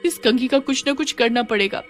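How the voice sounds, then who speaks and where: A woman's voice: on the high side, a little fast, faint music behind, lightly acted. A woman voicing an indignant, distressed young village wife in a cartoon horror story, with dramatic, complaining delivery. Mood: worried. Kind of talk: acted cartoon dialogue.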